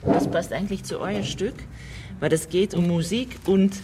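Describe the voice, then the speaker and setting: A woman's voice: on the low side, moderate pace. A woman casually giving instructions as she briefs helpers, recorded on location. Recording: clearly noisy location recording.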